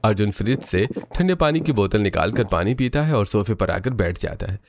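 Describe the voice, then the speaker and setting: A man's voice: lowish voice, expressive, normal speed, a little hiss or hum. A man narrating a scripted cartoon story. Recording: muffled audio over loud music.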